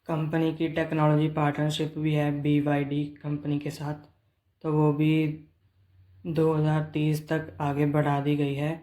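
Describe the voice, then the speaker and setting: A man's voice: a little high, warm tone. A man presenting a financial update in a plain, explanatory way, mixing in English business terms. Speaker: presenter of company earnings updates. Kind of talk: explanatory financial commentary, semi-scripted.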